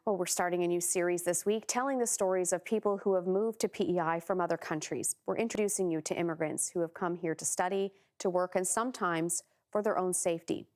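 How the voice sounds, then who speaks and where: A woman news anchor asking direct questions and introducing a segment, in a brisk manner. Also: fairly low pitch, a little mellow.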